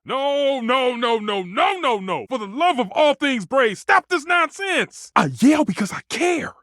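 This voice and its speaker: A man's voice: very high-pitched, lively intonation, a little slow, a bit bright, dry recording, clean studio sound, heavily acted. A man voicing a passionate, proud kitchen stove in a video game, a dramatic food purist who speaks emphatically and with grand flourishes. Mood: exasperated, outraged. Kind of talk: theatrical video game character dialogue.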